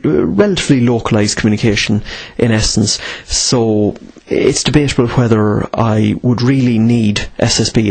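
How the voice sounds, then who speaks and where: A man with a slightly rough, husky voice at an average pitch explains things in an informal teaching style. He sets up questions and then answers them himself. Key phrases get repeated for emphasis. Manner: asks and answers own questions, emphatic repetition. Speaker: presenter of instructional radio videos.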